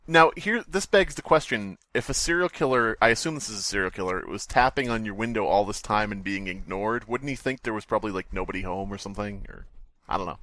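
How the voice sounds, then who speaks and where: A man's voice: lowish voice, fast talker, sharp tone, no echo. A man co-hosting a podcast, making rambling, sardonic casual commentary on scary stories. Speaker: podcast co-host.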